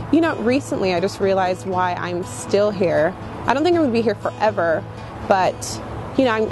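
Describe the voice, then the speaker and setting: A woman's voice: medium pitch, poor audio. Reflective woman over prominent music. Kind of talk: reflective unscripted interview answers.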